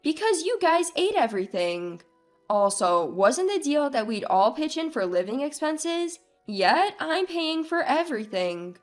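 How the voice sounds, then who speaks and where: Woman acting an indignant, high-voiced exasperated wife. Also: very animated, unhurried, faint music behind. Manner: emphatic, questioning, argumentative. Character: indignant, exasperated young wife standing up for herself. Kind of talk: acted dialogue in a narrated family drama story.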